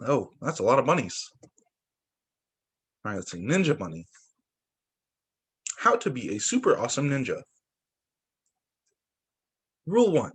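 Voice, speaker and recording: A man's voice: mid-range voice, sing-song, a little slow, long pauses, breathy edge. A young man, a teacher, reading picture books aloud to children over a video call and breaking in with little asides and exclamations. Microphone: computer mic on a video call.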